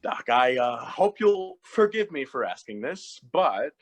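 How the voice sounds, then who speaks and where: A man's voice: medium pitch, sing-song, a little slow, soft background music. A man playing a showy, jokey television talk-show host in a fan-made drama, announcing playfully.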